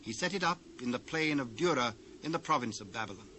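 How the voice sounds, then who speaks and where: A man's voice: medium pitch, expressive, fast, pushed and bright. A man with a British accent narrating scripture in a formal style. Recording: noisy old cassette dub.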